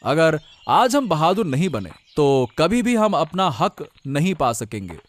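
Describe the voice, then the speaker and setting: A man voicing a rousing village leader, high and lively. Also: a little slow, no room sound. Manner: earnest, rousing. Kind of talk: cartoon story voice acting.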